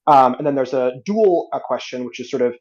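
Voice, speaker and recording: A man's voice: medium pitch, lively intonation, brisk, a little mellow, lo-fi sound. A man giving a structured debate rebuttal, methodical and articulate, laying out his points as questions. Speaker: debate guest.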